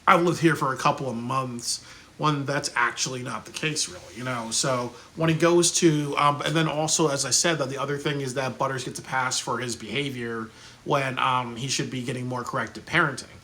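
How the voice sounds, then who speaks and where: A man's voice: mid-range voice, steady tone, brisk, pushed and bright, slight background noise. A man giving a casual, rambling spoken review, full of fillers like "um" and "you know" and frequent restarts. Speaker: video reviewer.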